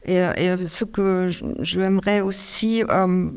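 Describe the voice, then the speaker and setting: A middle-aged woman from Germany speaking French with a German accent, giving a hesitant, halting caregiver's testimony with many restarts. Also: mid-range voice, steady tone, darkish tone, clear, resonant tone. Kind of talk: public testimony interview.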